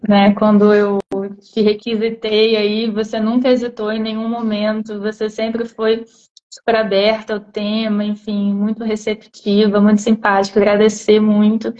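A woman's voice: medium pitch, monotone, moderate pace, darkish tone, muffled recording. A woman with a Brazilian accent hosting a casual live stream interview, chatty and full of tag questions. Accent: Brazilian Portuguese.

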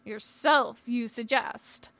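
A stern, ancient little alien master, played by a woman narrator, speaks in a high, commanding voice for a space-fantasy audiobook. He turns his sentences backwards and punctuates them with questioning hums. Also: slow pace, bright, forceful tone, heavily acted. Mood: grunting, challenging. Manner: inverted syntax, questioning hums. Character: stern old male alien Jedi master, small and commanding.

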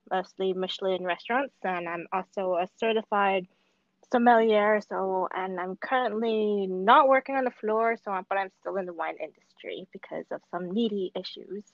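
A woman's voice: mid-range voice, no echo. Woman guest calling in from Hong Kong, rambling and chatty, dry dull line. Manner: chatty, many fillers and restarts. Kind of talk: casual remote interview.

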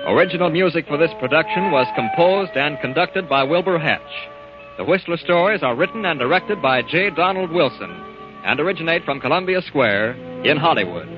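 Higher-voiced man announcing, loud music behind. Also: animated, brisk. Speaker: radio announcer. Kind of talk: formal 1940s radio announcing. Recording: muffled old radio broadcast.

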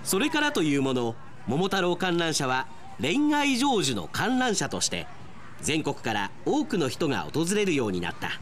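A man's voice: a little high, huge pitch swings, moderate pace, fairly bright tone, slight background noise. A man reading dramatic scripted narration for a Japanese radio drama.

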